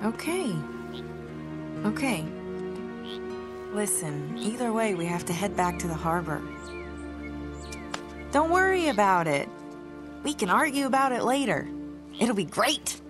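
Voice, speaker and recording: A woman's voice: medium pitch, very animated, measured pace, long pauses. An actress playing a determined young American woman adventurer in a video game, casual and insistent. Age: young adult. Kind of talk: video game acting, naturalistic dialogue. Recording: loud game music behind.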